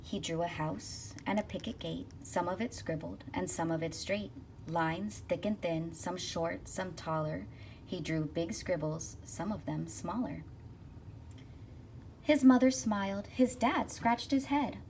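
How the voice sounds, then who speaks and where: A woman reading a rhyming children's picture book aloud expressively, with rhythmic, deliberate phrasing. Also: on the low side, vocal fry, darkish tone. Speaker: school counselor reading aloud. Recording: distant mic with faint noise.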